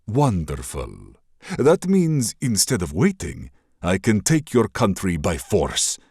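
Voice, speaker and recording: A man's voice: low voice, wildly swooping pitch, a little mellow, slightly rough, no echo, studio quality, heavily acted. A man playing a cold scheming warlord. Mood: menacing. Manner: slow, mocking and measured. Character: cold scheming warlord prince, male adult.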